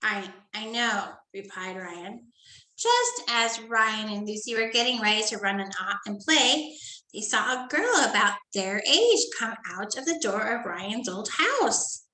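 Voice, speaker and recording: Sing-song teacher reading to small children. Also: female voice, on the high side, unhurried. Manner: sing-song and animated, with frequent soft whispered asides. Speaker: teacher reading a story to first graders. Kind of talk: storybook read-aloud lesson for young children. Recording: echoey room.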